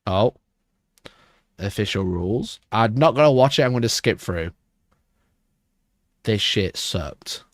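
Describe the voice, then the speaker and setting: A man with a rough, hoarse voice, chatting casually on a livestream and rambling with frequent restarts. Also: fairly low pitch, expressive, slow pace, long pauses, dry recording, clean studio sound. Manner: rambling, restarts mid-sentence. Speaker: livestream host.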